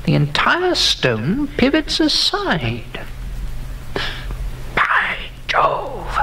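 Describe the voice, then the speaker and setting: An actor playing a curious, skeptical gentleman antiquarian in a horror audio drama, erudite and exclamatory, musing aloud as he inspects things. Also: very high voice, huge pitch swings, very slow, vocal fry, a little hiss or hum, full character voice. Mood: fascinated.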